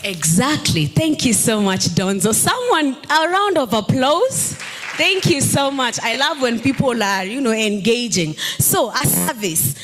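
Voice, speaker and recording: A woman's voice: on the high side, very animated, measured pace, fairly bright tone, a little hiss or hum. A woman in her thirties on a summit panel, coaching the audience on personal branding and asking them questions. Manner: engaging, asks the audience questions. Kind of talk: panel talk to a live audience. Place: stage at a summit. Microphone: handheld mic.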